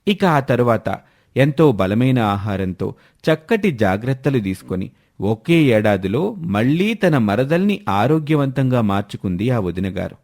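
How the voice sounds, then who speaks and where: A man's voice: mid-range voice, big pitch swings, a little slow, a little mellow. A man reading scripted narration for a story as the narrator.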